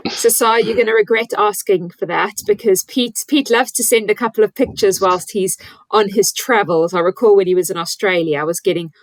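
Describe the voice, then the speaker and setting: A woman's voice: medium pitch, fairly quick, dry recording, rough recording. A woman co-hosting a podcast, cheerful and teasing in casual chat. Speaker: podcast co-host.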